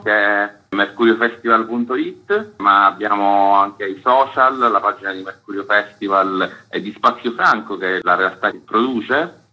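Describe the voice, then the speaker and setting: A man's voice: lowish voice, lo-fi sound. A man being interviewed on the radio as a festival curator, explaining things in full answers.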